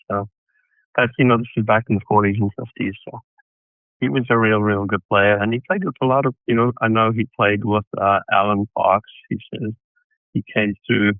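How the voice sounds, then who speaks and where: A man's voice: fairly low pitch, no room sound. A man from South Africa, a tennis coach guest on a podcast, telling long stories about his start in the sport with restarts and asides. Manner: rambling storyteller with restarts. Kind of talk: casual interview answers. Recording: tinny phone-like line, dry.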